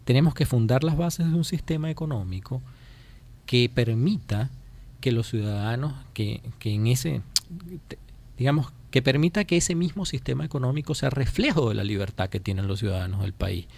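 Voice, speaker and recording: A Venezuelan man giving political commentary as a radio interview guest, speaking emphatically and deliberately and repeating phrases to drive points home. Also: medium pitch, expressive, gravelly, darkish tone. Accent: Venezuelan Spanish. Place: radio studio.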